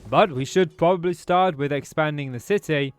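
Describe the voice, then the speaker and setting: A man's voice: on the high side, normal speed, clear, resonant tone, no room sound, faint music behind. A British man commentating casually on a strategy game he is playing, thinking aloud, his voice a little off after a throat infection. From Britain. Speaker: gaming YouTuber. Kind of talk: casual let's-play commentary.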